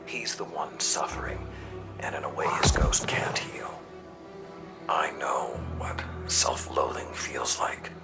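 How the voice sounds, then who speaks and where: A battle-hardened old game commander with an extremely low, gravel-edged voice. He is stern and solemn, weighing every word at a slow, measured pace. Music plays behind him. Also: whispered, exaggerated voice. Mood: frustrated, brooding. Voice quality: deep, gravelly. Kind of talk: dramatic video game dialogue.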